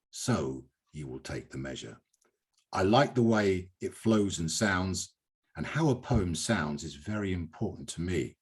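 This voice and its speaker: A man's voice: on the low side, darkish tone, husky. An older British man hosting a poetry reading, chatty and digressive, often repeating himself for emphasis. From Britain. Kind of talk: informal event hosting, reading out written replies.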